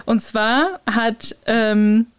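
A woman hosting a podcast, retelling anecdotes in a casual, giggly and animated way. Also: a little high, drawn-out words, clean tone. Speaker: podcast host.

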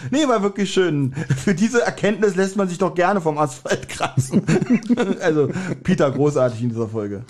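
A man's voice: sits high, lively intonation, moderate pace, a little mellow. A man, chatting casually as co-host of a podcast about audio dramas.

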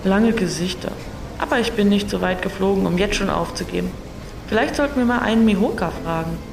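A woman's voice: medium pitch, fairly quick, creaky, noisy background, close to an everyday voice. A woman playing a determined, irritable traveller with a dry, resolute manner in a sci-fi audio drama. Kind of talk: German science-fiction audio drama. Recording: roomy, distant mic, music behind.

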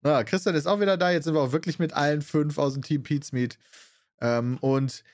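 A man hosting a podcast, talking briskly and casually, running through lists with small laughs. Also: fairly high pitch, a little mellow, no room sound, clean studio sound. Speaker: podcast host.

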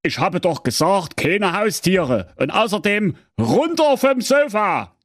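A man's voice: high voice, big pitch swings, takes it slowly, dry recording, studio quality, pitched above the performer's own voice, exaggerated voice. Man doing a blustering Saxon husband. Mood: scolding. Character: dim-witted grumbling husband, middle-aged man.

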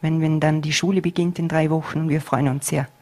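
Low-voiced earnest woman. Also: fairly even intonation, darkish tone. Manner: earnest, measured, with many hesitations. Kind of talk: interview answers.

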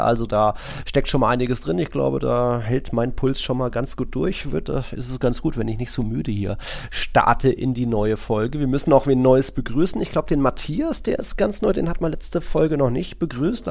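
A man co-hosting a football podcast, talking casually in a rambling, colloquial and animated way. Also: medium pitch, vocal fry. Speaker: podcast host.

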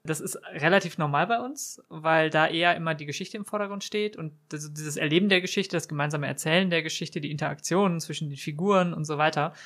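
A man's voice: a little high, quick pace, hardly any pauses, fairly bright tone. A man chatting as a podcast guest, explaining things in a conversational, tentative way. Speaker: podcast guest, hobby role-player. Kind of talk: casual chat.

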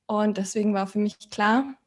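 A woman's voice: medium pitch, moderate pace. A woman in her thirties from Berlin, a podcast guest who talks hesitantly and searches for words. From Berlin, Germany. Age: 30s. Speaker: podcast guest asking questions. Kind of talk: casual podcast conversation.

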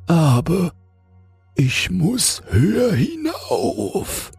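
Storybook caterpillar, tiny and self-important, high-pitched, dragging indignant drawl, pompous. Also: male voice, wildly swooping pitch, very slow, gravelly, a little mellow, slightly rough, no echo, soft background music, exaggerated voice. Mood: indignant, possessive. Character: slow, haughty, self-important little caterpillar.

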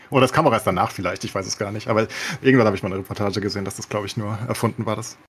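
A man's voice: on the low side, fairly even intonation, racing, slight background noise. A man in a casual podcast discussion, talking colloquially and opinionatedly with English words mixed in. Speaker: podcast co-host.

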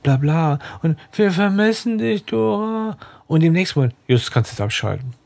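A man's voice: a little high, animated, normal speed, vocal fry, a little mellow. A man co-hosting a podcast, chatting casually and thoughtfully, often trailing off into tag questions. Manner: musing, trails off with "ne?" and "irgendwie". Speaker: podcast co-host. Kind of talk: casual chat about a radio drama.

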